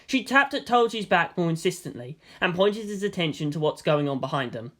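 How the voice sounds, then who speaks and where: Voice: medium pitch, expressive, fairly quick, fairly bright tone. A narrator reading fan fiction aloud as scripted drama, with a theatrical, solemn delivery. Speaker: story narrator.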